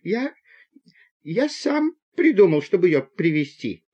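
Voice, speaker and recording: Man doing a high, timid, guilty schoolboy. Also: sing-song, a little mellow, slightly rough, muffled recording, heavily acted. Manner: babbling, halting and repeating words. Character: guilty, timid schoolboy, stubborn, near tears.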